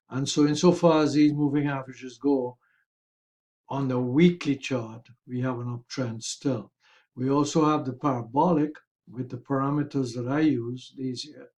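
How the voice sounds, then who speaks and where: Mellow man with a slightly raspy voice. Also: medium pitch. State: hoarse, throat-clearing. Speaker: host of a stock market review. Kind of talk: explanatory chart commentary.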